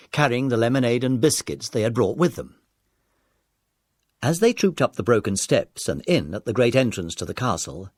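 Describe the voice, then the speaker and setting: A man's voice: medium pitch, darkish tone, slightly rough, no room sound, studio quality. A man reading scripted narration for a children's audiobook.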